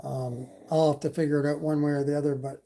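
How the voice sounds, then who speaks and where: A man's voice: on the high side, little pitch movement, quick pace, gravelly, mellow. A man from Canada with a slight Canadian accent, hosting a casual e-bike live stream and chatting with viewers, with lots of fillers. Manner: rambling, many fillers, reads chat. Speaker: e-bike channel host.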